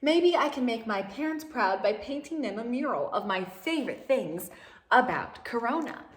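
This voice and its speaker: A woman's voice: on the high side, expressive, normal speed, roomy sound, voice pushed up, natural voice. A woman voicing a kind, earnest young princess in a children's storybook, speaking expressively. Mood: eager.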